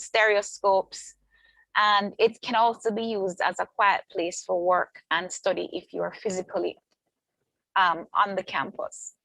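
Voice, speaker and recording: Gravelly woman lecturer. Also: mid-range voice, a bit bright, dry recording, poor audio. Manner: measured, list-like delivery with frequent ums. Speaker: university lecturer presenting her department. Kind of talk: online orientation presentation. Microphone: video call.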